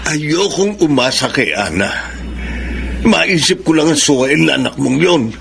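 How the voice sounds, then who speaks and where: A man acting a stubborn, resentful middle-aged father in a radio drama, with a gravelly voice and Filipino accent. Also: fairly high pitch, expressive, measured pace, fairly bright tone, a little hiss or hum, heavily acted. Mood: grumbling, bitter.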